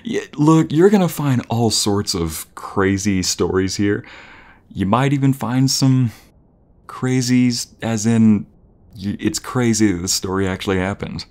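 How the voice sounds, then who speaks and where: A man's voice: lowish voice, darkish tone, natural voice. A man acting an adorkable, gushing nerdy jock boyfriend in an intimate audio roleplay, affectionate and teasing. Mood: playful, animated. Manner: affectionate, teasing, breathy pauses and chuckles.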